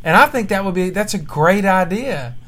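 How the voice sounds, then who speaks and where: A man's voice: high-pitched, lively intonation, moderate pace, a bit bright. A young man co-hosting a casual chat with his wife, joking, teasing and laughing often, at home at night. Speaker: podcast co-host and church minister. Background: faint baby monitor hiss.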